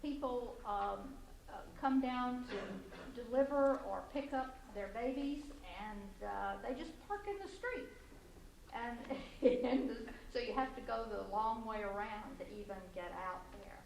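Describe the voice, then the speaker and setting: A woman with a loud voice giving public comment at a city council meeting, halting and full of fillers and restarts. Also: on the high side, vocal fry. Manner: halting, many fillers and restarts, light laughs. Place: community center meeting room. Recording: echoey, distant, faint room noise.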